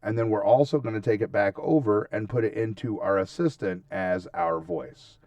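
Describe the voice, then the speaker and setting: A man with a lowish, gravelly voice walks viewers through a tutorial in an informal, step-by-step way. He checks in often as he goes. The sound is dry, with the voice sitting a little away from the mic. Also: expressive, moderate pace, a little mellow, dry recording, distant mic, muffled recording. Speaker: tutorial host. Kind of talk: casual screen-share tutorial, narrating steps as he goes.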